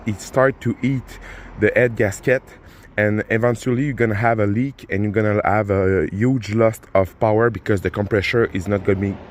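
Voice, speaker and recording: Man, French accent, somewhat low voice, halting how-to explanation, frequent fillers and self-corrections. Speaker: car repair channel host. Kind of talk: casual how-to demonstration.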